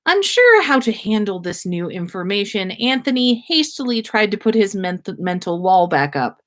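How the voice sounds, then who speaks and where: A woman's voice: medium pitch, very animated, moderate pace. A woman reading fan fiction aloud as a podcast host, stumbling slightly over words and acting out the dialogue. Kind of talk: story read aloud on a livestream.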